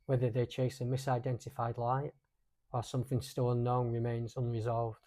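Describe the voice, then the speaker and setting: A man narrates in a scripted documentary style suited to mysteries. His voice sits at an average male pitch with a soft, dark tone. He speaks in a measured, serious way, setting short phrases apart for dramatic weight. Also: completely flat delivery.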